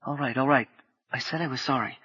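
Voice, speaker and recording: A man reading an audiobook, voicing a quick, apologetic young woman who speaks in a hushed way. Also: medium pitch, breathy edge, dull audio. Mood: hushed, brisk. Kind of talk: audiobook character voice.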